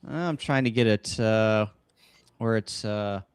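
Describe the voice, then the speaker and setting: An American man hosting a casual livestream, talking through ideas in halting, short phrases. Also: lowish voice, clean tone, dry recording. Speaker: host of a ham radio livestream. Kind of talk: casual livestream brainstorming talk. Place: upstairs room at home, kitchen table.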